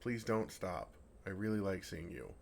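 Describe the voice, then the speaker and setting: A man playing a gentle, affectionate, innocently oblivious dragon in an intimate, earnest ASMR roleplay. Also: sits low, sing-song, normal speed, gravelly, slight background noise, exaggerated voice. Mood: puzzled affection. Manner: earnest, softly teasing, innocently puzzled.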